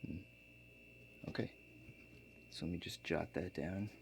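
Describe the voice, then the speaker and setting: A man playing a laid-back construction contractor in a soft-spoken ASMR role-play, talking in a halting, matter-of-fact way. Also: sits low, vocal fry. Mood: quietly inquisitive. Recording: faint background noise.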